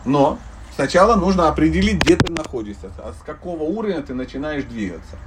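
A man lectures informally on spiritual matters in a slightly high voice with very lively intonation. His way of talking is blunt and conversational, full of slangy turns. The microphone sits some way off from him. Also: fast. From Dnipropetrovsk, Ukraine. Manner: conversational, blunt slangy wording, teaching through humorous allegories. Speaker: spiritual teacher giving a lecture. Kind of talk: informal religious lecture with audience questions. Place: live talk to a listening group. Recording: distant microphone, faint background noise.

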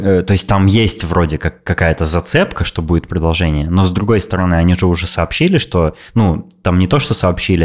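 A young man with a very deep voice talks in a loose, relaxed podcast chat. He rambles, stops to hesitate and often restarts his sentences. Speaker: podcast co-host. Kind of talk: casual chat.

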